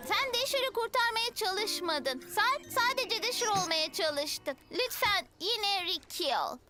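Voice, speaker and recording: A woman's voice: very high voice, lively intonation, slow pace, music in the background, heavily acted. A woman voicing a sensible, caring childlike motorbike in a dubbed children's cartoon, earnest in manner. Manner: earnest, concerned.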